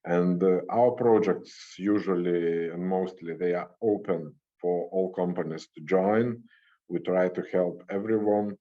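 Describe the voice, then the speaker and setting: A man presenting his organization in a webinar, halting with frequent uhs. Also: low voice, steady tone, lo-fi sound. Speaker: presenter from a food promotion organization.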